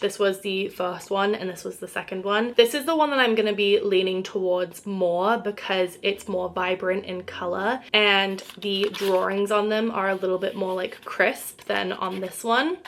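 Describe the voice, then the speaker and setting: Casting: young woman vlogger, upbeat and chatty, a touch croaky, medium pitch. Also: fairly bright tone. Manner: chatty, filler-heavy, upbeat. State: slightly croaky, losing her voice. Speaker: small art business owner vlogging. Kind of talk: casual vlog narration.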